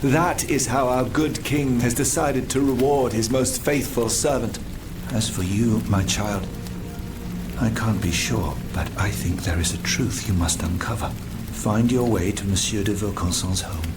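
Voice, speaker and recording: A man playing a troubled, earnest French gentleman scientist in a video game, formal and theatrical. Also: on the low side, unhurried, very noisy, natural voice. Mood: grave, earnest. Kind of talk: dramatic video game cutscene acting.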